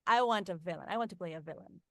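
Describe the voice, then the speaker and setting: A woman from northern Mexico speaking English with a Mexican accent, chatting as a guest in a friendly, lively way. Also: fairly low pitch, very fast, gravelly, dry recording, studio quality. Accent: Mexican Spanish. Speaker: voice actress, guest. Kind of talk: casual interview chat.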